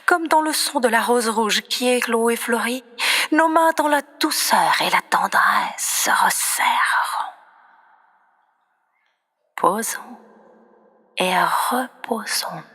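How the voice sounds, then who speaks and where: A woman reciting poetry in a breathy, often near-whispered voice, with solemn, dramatic and deliberate phrasing. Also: fairly high pitch, expressive, a bit bright. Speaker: poet reciting own poems. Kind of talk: slow expressive poetry recitation.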